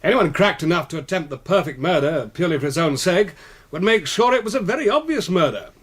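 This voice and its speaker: A man's voice: a little high, lively intonation, quick pace, slightly rough. A man playing a droll retired policeman in a radio drama, speaking in a dry, wry manner. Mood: dry, knowing. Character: droll retired policeman, dryly boasting armchair murderer.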